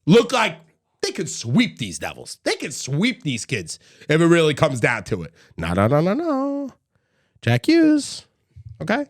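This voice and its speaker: A hoarse young man hosting a casual sports chat, excitable and bursting into shouts. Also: a little high, measured pace. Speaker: podcast host.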